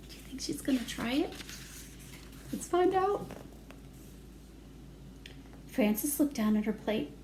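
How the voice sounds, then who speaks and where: High, animated woman reading to children. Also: takes it slowly, slight background noise, rough recording. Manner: animated, simple phrasing for young children. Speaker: children's storytime reader. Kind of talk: picture-book read-aloud with asides to children.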